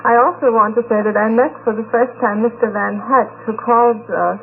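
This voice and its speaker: A woman on a radio panel telling anecdotes conversationally, in a polite, halting manner. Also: on the high side. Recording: tinny, very noisy 1950s radio recording.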